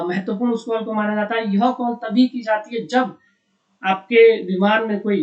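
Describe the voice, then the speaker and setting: Informal news explainer, mid-pitched, didactic and repetitive with frequent check-in tags; muffled, low-fidelity recording. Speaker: explainer, educator. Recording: dull lo-fi sound.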